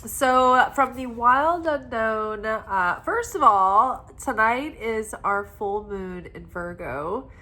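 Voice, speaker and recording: A woman giving a weekly tarot reading in a soft, meandering, spiritual way, her voice stuffed up and congested from crying. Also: fairly high pitch, expressive, slow pace, hardly any pauses. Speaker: tarot reader.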